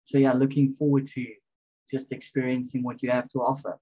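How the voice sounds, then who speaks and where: A young man from South Africa, introducing himself in a friendly, relaxed way with fillers on a video call. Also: medium pitch, brisk. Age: young adult. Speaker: exchange student. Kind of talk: casual video call chat. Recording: dull lo-fi call audio.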